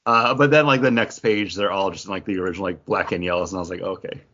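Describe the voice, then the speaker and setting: A man co-hosting a podcast, chatting casually about comics and acting out reactions in an animated way. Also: deep voice, fast, dull audio. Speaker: podcast co-host.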